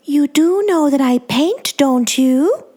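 A woman voicing a self-absorbed female celebrity who coos in a high, childlike sing-song. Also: expressive, slow, mellow. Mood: cooing.

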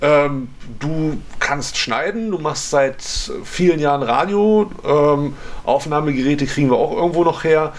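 A man's voice: on the high side, a little slow. A man speaking casually in an interview, colloquial and thinking aloud in fragments as he explains. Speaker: radio maker and volunteer audio technician.

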